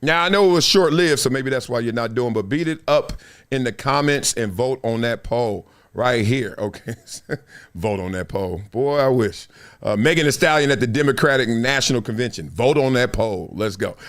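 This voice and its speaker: A middle-aged man hosting a casual livestream, reading viewer comments and news aloud in a playful, animated way. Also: mid-range voice, moderate pace. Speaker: show host. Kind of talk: casual live-stream commentary.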